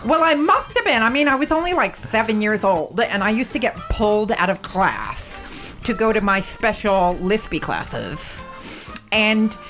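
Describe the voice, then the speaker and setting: A woman's voice: mid-range voice, very animated, unhurried, fairly bright tone, soft background music, low-quality recording. A woman co-hosting a radio show in casual chat, with a lisp and a gushing, chatty way of talking.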